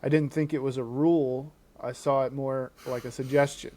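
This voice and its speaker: A man's voice: mid-range voice, steady tone, moderate pace, mellow. A man co-hosting a podcast in an informal, conversational way. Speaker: podcast co-host. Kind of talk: casual chat and reading posts aloud.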